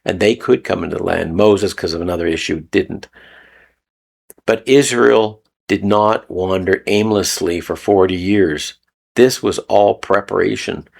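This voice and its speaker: A man's voice: low voice, fairly even intonation, a little slow, vocal fry. A man from Canada giving a rambling, casual spoken teaching talk, repeating phrases and chuckling often. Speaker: video host. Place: lives in Mexico.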